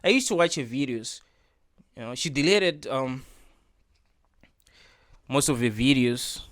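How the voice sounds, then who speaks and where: A man's voice: medium pitch, lively intonation, unhurried, a bit bright, no echo, clean studio sound. A man hosting a reaction video, talking in an earnest, preachy way and repeating his points with lots of filler words. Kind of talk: casual opinion monologue.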